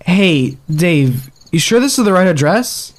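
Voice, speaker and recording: A man's voice: high voice, very animated, a little slow. An actor playing an earnest young male explorer, the group's trivia buff, in an explanatory manner for an audio drama.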